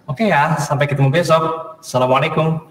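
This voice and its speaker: A friendly young male college student, played by an actor talks in an easy, earnest way. His voice sits a little high. The sound rings with echo, as in a big reverberant hall. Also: fairly even intonation, fairly quick, crowd noise, close to an everyday voice. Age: young adult. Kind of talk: acted dialogue in a short film. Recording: echoey, reverberant hall playback.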